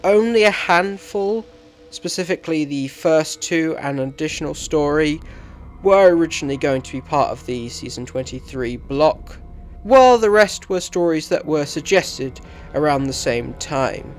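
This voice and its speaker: Male video reviewer, slightly high voice, scripted commentary, faint music behind. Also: animated, moderate pace.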